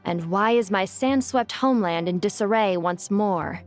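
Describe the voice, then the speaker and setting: A woman's voice: mid-range voice, normal speed, close to an everyday voice. Fantasy game djinn, female actor: ancient, regal, proud, stately delivery in a medium register. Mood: solemn. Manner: stately, formal, imperious. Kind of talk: fantasy game dramatic dialogue. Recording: faint game music behind the voice.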